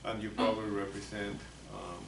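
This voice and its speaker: Soft-spoken older man with Spanish accent. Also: on the low side, flat delivery, normal speed, creaky. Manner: soft-spoken, hesitant with many fillers. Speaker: psychologist presenting to lawyers. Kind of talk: continuing-education lecture. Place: meeting room. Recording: echoey room, mic far off, noisy.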